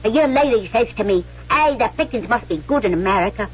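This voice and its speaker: Actress as a shrill, wronged London working-class wife. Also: female voice, animated, fast talker, natural voice. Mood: indignant, pleading. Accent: English working-class London. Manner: loud, accusatory, plaintive. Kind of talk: radio drama acting. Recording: muffled vintage radio recording.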